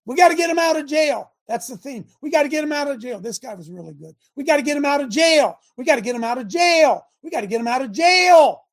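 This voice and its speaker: A man's voice: very high-pitched, expressive, quick pace, few pauses, raised voice. A man hosting a live talk stream, talking emphatically and directing his producer between remarks.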